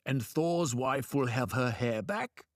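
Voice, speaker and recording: A man's voice: mid-range voice, slow, dry recording, clean studio sound. A man voicing a stern, stately old king of the gods in a storybook tale, speaking in a grand, formal manner. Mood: regal.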